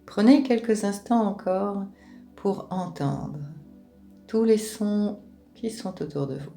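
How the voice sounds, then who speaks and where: A woman leading a guided relaxation meditation in a calm, gentle, unhurried manner, with pauses between short phrases. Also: mid-range voice, gravelly, soft background music.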